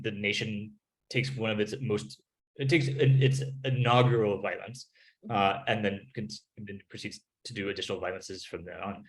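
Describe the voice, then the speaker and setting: A man's voice: fairly low pitch, poor audio. A man speaking as a panelist in an academic discussion, searching for words with many restarts, on a video call.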